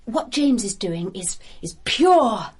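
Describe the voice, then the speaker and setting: A woman's voice: medium pitch, very animated, takes it slowly, darkish tone, slightly rough, full character voice. An actress playing a self-assured, defiant, arty young woman in a radio drama, articulate and earnest.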